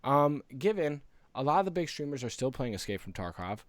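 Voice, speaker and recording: A man's voice: medium pitch, very animated, fairly quick, dry recording. A man co-hosting a casual gaming podcast in an earnest, rambling way, close to the microphone. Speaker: podcast co-host.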